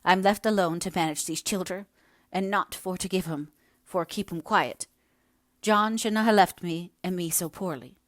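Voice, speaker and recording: A woman's voice: fairly low pitch, moderate pace, dry recording, studio quality, lightly acted. A woman voicing a sickly, bitter poor mother with complaining, emphatic dialect speech, in a storybook reading. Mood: querulous.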